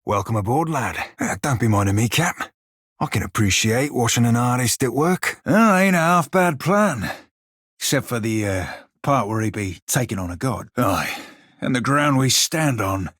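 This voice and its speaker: A man voicing a roguish, irreverent pirate sailor in a fantasy video game, sardonic and bantering in archaic nautical slang, with a husky, gravelly voice. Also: mid-range voice, animated, moderate pace, fairly bright tone, dry recording, clean studio sound. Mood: wry, casual. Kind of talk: video game voice lines, banter and fight barks.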